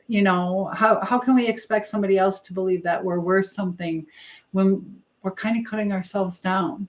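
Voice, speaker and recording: A middle-aged American woman chatting casually as a livestream host, reading and answering comments with an encouraging manner, hopping from one thought to the next. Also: mid-range voice. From Michigan, United States. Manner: chatty, jumps between topics mid-thought.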